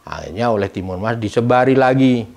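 A man's voice: medium pitch, animated, brisk. A middle-aged man informally explaining a folk tale's meaning in a didactic way, posing questions and answering them himself. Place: room with bare walls, indoors, seated. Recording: clear background noise, birds cooing.

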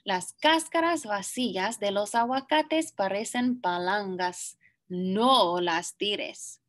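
A young woman reading a picture book aloud to children, expressive and deliberate in her phrasing. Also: mid-range voice. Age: young adult. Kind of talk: children's story read-aloud with brief asides. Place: small indoor classroom.

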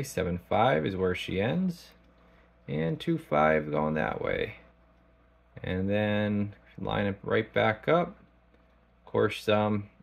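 Low, creaky-voiced man, casual and halting. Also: dull audio. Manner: halting, informal, thinking aloud. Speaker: radio technician. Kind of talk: casual product demonstration. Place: small indoor electronics workshop.

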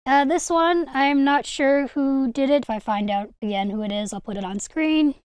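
A woman's voice: sits high, normal speed, darkish tone, no echo. A young adult woman, hosting a casual hobby haul vlog in an enthusiastic, gushing way. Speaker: video host, hobby collector.

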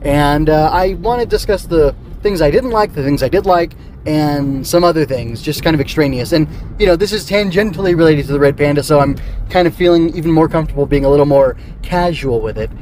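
A man's voice: fairly high pitch, brisk, darkish tone. A middle-aged man hosting a solo podcast, talking casually and rambling with digressions. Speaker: podcast host. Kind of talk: casual solo review chat.

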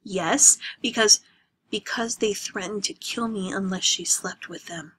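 A woman's voice: medium pitch, measured pace, pushed and bright, slight background noise. A woman voicing an angry, desperate young man who pleads heatedly.

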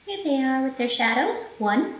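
A woman in her thirties leading a storytime for young children, speaking in simple, expressive phrases with plenty of questions. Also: high-pitched, moderate pace, muffled recording, background noise. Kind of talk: storytime teaching, singing and picture-book reading for young children. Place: indoor library storytime room. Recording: roomy echo, faint music.

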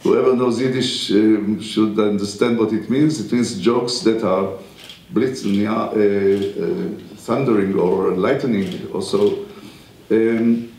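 An older man whose first language is Hebrew lectures from a podium in a wood-panelled room. His low, mellow voice halts often, full of pauses and restarts. The room adds an echo. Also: steady tone. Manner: halting, many pauses and restarts. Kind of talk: university lecture. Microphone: podium mic.

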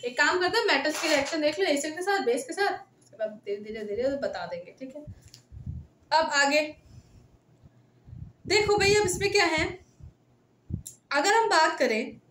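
A young woman teaching chemistry at a whiteboard through a headset mic, checking in with students often as her voice tires and goes hoarse. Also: sits high, moderate pace, long pauses. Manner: talks straight to students, coaxing and checking in. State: voice tiring and going hoarse. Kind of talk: classroom lecture at a whiteboard. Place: small indoor room by a whiteboard. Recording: echoey room with steady background noise.

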